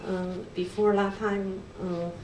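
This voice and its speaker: A middle-aged woman, a native Chinese speaker with a Chinese accent, gives a nervous, halting testimony in English with many fillers and restarts. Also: mid-range voice, little pitch movement, a little mellow, slight background noise. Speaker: church member giving a testimony. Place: large church hall indoors. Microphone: handheld mic, picked up from a distance. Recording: echoey hall recorded from far off.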